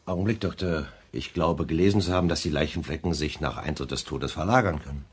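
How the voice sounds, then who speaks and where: A man acting as a shrewd chief inspector in a German radio crime drama, questioning in a deliberate, wry way. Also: extremely low pitch, husky. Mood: probing. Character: shrewd, probing male police chief inspector.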